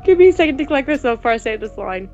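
A woman's voice: high-pitched, big pitch swings, racing, darkish tone, lo-fi sound, soft background music. A woman playing and reading a visual novel aloud, mixing dramatic narration with casual commentary.

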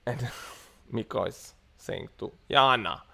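A man's voice: medium pitch, slow pace, creaky, sharp tone, husky. A man in his thirties from Italy, chatting casually and rambling with lots of fillers on a tech livestream, recorded in a home study on an earphone mic. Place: home study lined with bookshelves. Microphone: earphone headset mic on a webcam stream.

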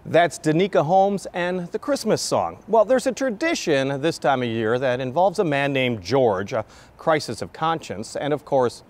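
Animated middle-aged male TV host. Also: fairly high pitch, normal speed. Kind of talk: presenting and interviewing on local TV. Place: large indoor hall decorated with Christmas trees. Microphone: lapel mic.

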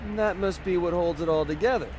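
An actor playing a cocky, sarcastic young American rebel with superpowers in a video game, flippant and slangy. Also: male voice, sits high, fast, a little mellow, natural voice. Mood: brash, wry. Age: young adult. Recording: game music behind the voice.

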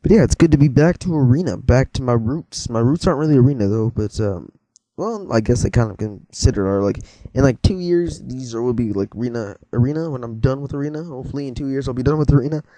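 A man's voice: medium pitch, fast, hardly any pauses, mellow, dry recording, rough recording. A teenage boy giving casual, rambling commentary while playing a game, easily startled and joking with viewers. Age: teens. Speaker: gameplay commentator. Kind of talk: casual let's-play commentary.

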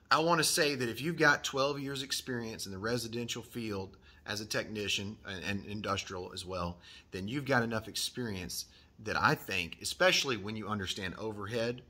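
A man's voice: lowish voice, normal speed, bright, forceful tone. A man in his thirties hosting a trade advice vlog, earnest and encouraging, repeating his key points, filmed close in a decorated workshop room. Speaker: HVAC channel host. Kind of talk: advice vlog, reading a viewer email.

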